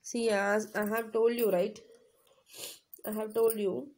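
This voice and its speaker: A woman's voice: mid-range voice, flat delivery, slow pace, darkish tone. A woman teacher with a cold, explaining a lesson to her class with many fillers and checking questions. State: has a cold. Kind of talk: classroom lecture, reading then explaining.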